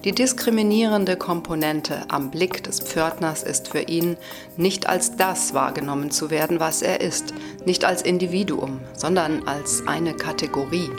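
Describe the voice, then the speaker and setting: A woman's voice: deep voice, a little slow. A woman reading scripted documentary narration as the narrator. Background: music.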